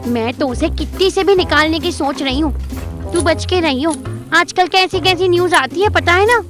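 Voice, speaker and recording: A woman's voice: very high voice, moderate pace, music in the background. A woman playing a gossipy, suspicious middle-aged mother in an audio drama, colloquial and scolding. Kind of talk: Hindi audio drama acting.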